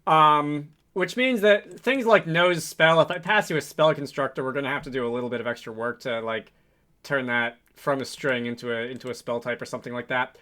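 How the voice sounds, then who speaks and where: A man hosting a casual coding tutorial, thinking aloud in a halting, improvised way with frequent hesitations. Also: medium pitch, pushed and bright. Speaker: tutorial host, game developer.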